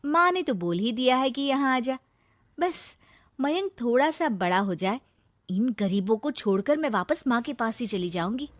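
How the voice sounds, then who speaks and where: A high, whining voice for a discontented young wife, acted by a woman storyteller, forever complaining and thinking of herself. The cartoon delivery is plaintive, recorded close and dry. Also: animated, moderate pace, no echo, lightly acted. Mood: scheming, smitten. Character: discontented young married daughter, whining and self-centred.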